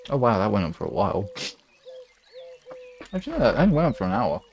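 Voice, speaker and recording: A man chatting casually and softly with his listeners as an ASMR streamer, relaxed and unhurried. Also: lowish voice, vocal fry, dull audio. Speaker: ASMR hypnosis live streamer.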